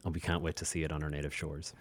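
A man's voice: extremely low pitch, little pitch movement, racing, no echo. A middle-aged man with an Irish accent, speaking as an upbeat, welcoming guest on a radio interview show. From Ireland. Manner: upbeat, welcoming, frequent uh fillers. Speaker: guest, diplomat.

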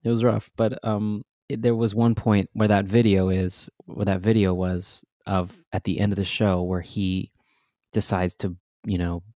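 A man's voice: lowish voice, little pitch movement, measured pace, dark tone, dry recording, clean studio sound. A man who performs on stage, guest on a casual interview, telling stories in a chatty, self-deprecating way and laughing often.

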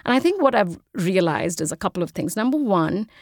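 A woman in her forties from India with an Indian accent, a guest in an interview talking in quick, animated bursts. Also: medium pitch, no room sound, clean studio sound. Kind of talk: casual interview conversation.

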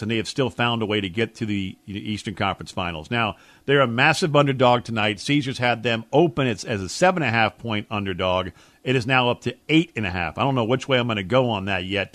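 Low-pitched American sports radio co-host. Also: male voice, fairly bright tone, dry recording. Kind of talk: casual sports talk and analysis.

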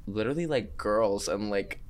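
A man from Chile, guesting on a podcast, chatting in an animated, campy, joking way. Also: fairly low pitch, moderate pace, heavy background noise. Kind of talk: casual chat.

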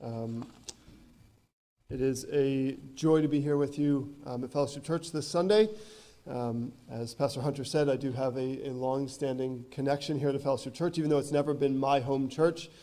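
A man in his thirties, a visiting missionary giving an earnest church talk with frequent ums, his voice hoarse from a cold. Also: medium pitch, normal speed, warm tone. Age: 30s. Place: church sanctuary.

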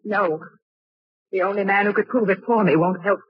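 A woman's voice: mid-range voice, steady tone, normal speed, husky, lightly acted. A woman playing a cornered, defiant secretary in 1940s radio melodrama, clipped, defensive and dramatic. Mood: desperate. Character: cornered, defiant female secretary under suspicion. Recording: muffled, roomy old radio recording.

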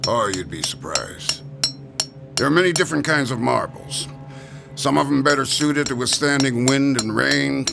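A man's voice: medium pitch, expressive, normal speed, gravelly, music in the background. An actor playing a jovial, heavyset male gravestone carver in a radio drama, folksy and darkly joking. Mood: jovial, teasing.

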